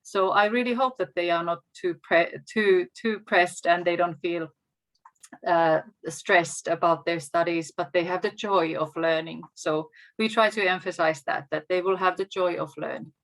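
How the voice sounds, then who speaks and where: A woman from Finland with a Finnish accent, a native Finnish speaker, talking as a guest teacher on a video call in a measured, encouraging way. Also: on the low side, fairly even intonation, a bit bright. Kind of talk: casual video call talk.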